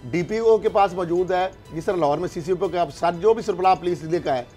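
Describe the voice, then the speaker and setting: A man's voice: sits high, a little fast, warm tone, soft background music. A man with a Pakistani accent in a political discussion, speaking emphatically and argumentatively with rhetorical questions. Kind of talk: heated political discussion, interjecting.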